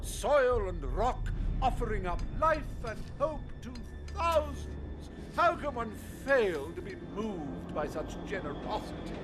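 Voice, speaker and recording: An actor playing a smug, greedy industrialist in a video game, speaking in pompous, theatrical oratory. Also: male voice, high-pitched, big pitch swings, slow pace, few pauses, over music, exaggerated voice. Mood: grandiose showmanship.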